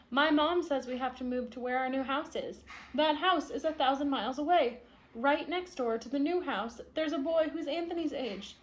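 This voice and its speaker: A woman's voice: high voice, natural voice. A woman reading the part of a stubborn, indignant young boy from a picture book, with emphatic delivery. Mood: glum. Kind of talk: picture-book read-aloud.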